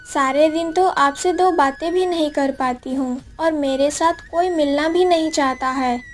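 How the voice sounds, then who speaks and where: A woman voicing a poor, devoted young village daughter in a cartoon moral story, earnest and pleading. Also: sits high.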